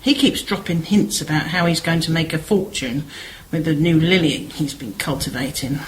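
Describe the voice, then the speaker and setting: A woman's voice: sits low, moderate pace, slight background noise, natural voice, rough recording. A woman acting a chatty, concerned village sister in an amateur radio play, gossipy and persuasive.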